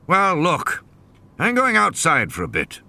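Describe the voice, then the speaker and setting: Male actor as elderly park owner, audio drama: impatient, uneasy, raised pitch, restless clipped delivery. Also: wildly swooping pitch, measured pace, gravelly, sharp tone, full character voice.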